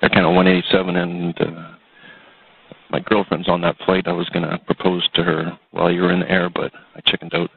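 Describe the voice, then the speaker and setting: A man working as an air traffic controller, speaking sincerely over aviation radio with a husky voice. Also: sits low, flat delivery, a little fast. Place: airport control tower. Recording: tinny, dry radio link.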